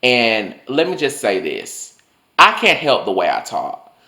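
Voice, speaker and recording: A young man telling personal stories in a loose, chatty way, his voice swinging higher and lower as he talks. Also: huge pitch swings, a little slow, gravelly, sharp tone, echoey room. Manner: chatty, loose, full of "like" and swearing. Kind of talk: casual storytelling monologue.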